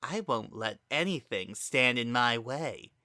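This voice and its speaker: A narrator voicing a menacing, fervent child spirit that wears a monster's skin, speaking in a slow, theatrical, hollow, coaxing monologue for a dramatic story reading. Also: mid-range voice, animated, pushed and bright, dry recording, studio quality. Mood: grave, fervent. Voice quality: maddened, hollow tone. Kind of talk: dramatic reading of fan fiction.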